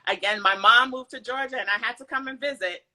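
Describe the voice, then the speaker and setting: A bright, clear-toned woman with a light Jamaican accent that slips into American speech. She talks in a chatty, storytelling way, full of small fillers, as a podcast guest. Her voice sits a little high. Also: a little fast. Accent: light Jamaican, mixed with American. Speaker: event planner guest. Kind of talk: casual podcast interview.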